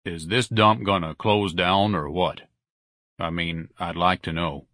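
Man doing a slow, vague lowlife clerk. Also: steady tone, sharp tone, no room sound, clean studio sound, exaggerated voice. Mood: puzzled, grumbling. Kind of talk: audiobook character voice.

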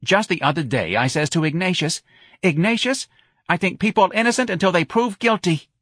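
A man's voice: high-pitched, lively intonation, fairly quick, a bit bright, no room sound, studio quality, pitched above the performer's own voice. A man voicing an emotional, pliable middle-aged mother in an audiobook, with a plaintive, folksy New Orleans drawl. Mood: earnest. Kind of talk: audiobook character voice.

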